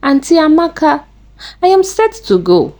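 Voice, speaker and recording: Woman acting a high-pitched, frightened guilty girl. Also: big pitch swings, normal speed, a little mellow. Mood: nervous. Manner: pleading, dramatic. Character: guilty, frightened young house girl with wicked secrets.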